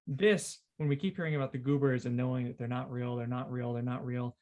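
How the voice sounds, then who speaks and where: A man's voice: medium pitch, fairly even intonation, fairly quick, darkish tone, dull audio. A man hosting a casual literary discussion, repeating phrases for emphasis as he talks. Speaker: podcast host.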